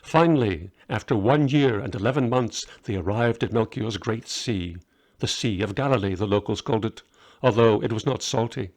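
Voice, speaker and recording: A man's voice: lowish voice, normal speed, darkish tone. A man reading his own short story aloud as a scripted narration.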